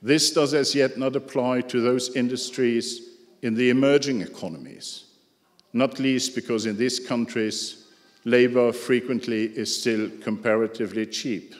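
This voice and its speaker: A man with a non-native English accent giving a prepared lecture, measured and dryly ironic, with asides to the audience. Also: medium pitch, steady tone. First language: not English. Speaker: speaker giving a talk. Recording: echoey hall with long reverb.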